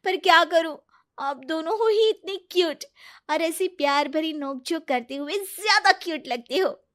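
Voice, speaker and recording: A woman's voice: extremely high pitch, animated, moderate pace, a bit bright, pitched above the performer's own voice. A woman voicing a cheerful, affectionate young wife with a sweetly teasing manner in a family story. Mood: playful teasing.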